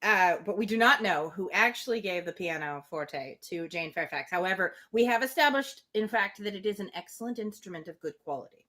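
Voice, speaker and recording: A woman's voice: mid-range voice, animated, brisk, few pauses, sharp tone. A woman hosting a live novel reading, moving between read-aloud prose and playful, conversational commentary.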